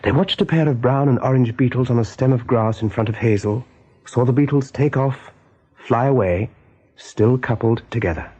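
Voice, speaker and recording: Dark, husky male storyteller. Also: medium pitch, normal speed, highs cut off. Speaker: narrator. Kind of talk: scripted radio play narration.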